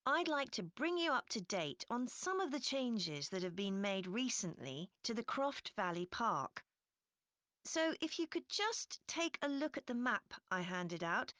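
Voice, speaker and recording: A woman's voice: mid-range voice, fairly bright tone, no room sound, studio quality. A woman playing a council committee chair giving a scripted, orderly briefing. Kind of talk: scripted public address for a listening test.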